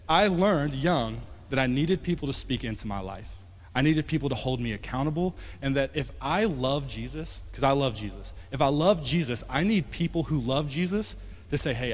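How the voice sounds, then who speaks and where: A man in his late twenties preaching a sermon as pastor, talking conversationally and swinging from emphatic to quiet. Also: a little high. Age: 20s. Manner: conversational, rising to emphatic then dropping soft. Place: church sanctuary. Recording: long church hall reverb.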